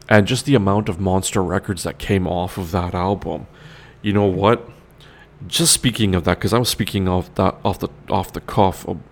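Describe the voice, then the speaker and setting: Host, male, 40s, very low and gravelly, rambling, enthusiastic solo talk. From Canada. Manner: rambling, enthusiastic, many fillers and restarts.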